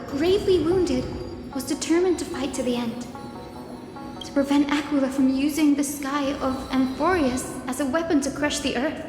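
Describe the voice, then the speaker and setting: A woman voicing a gentle, earnest young priestess, polite and resolute, in a game drama. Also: high voice, natural voice. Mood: troubled, earnest. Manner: polite, sincere, measured. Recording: echoey hall reverb under music. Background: background music.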